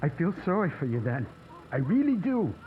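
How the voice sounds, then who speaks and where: Desperate dad in a radio drama, high pitched, really up and down, urgent stammery pleading. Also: male voice, sing-song, normal speed, phone-quality sound, slight background noise. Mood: bitter. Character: desperate neighbor, young father pleading for his family.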